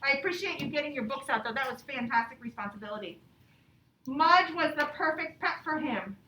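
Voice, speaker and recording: A woman's voice: sits high, expressive, a little fast, bright, forceful tone. A woman working as an elementary teacher, leading a lesson and read-aloud for children in simple, repeated phrases. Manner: instructional, simple phrasing with repetition. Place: indoor classroom. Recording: echoey classroom, distant mic, background noise.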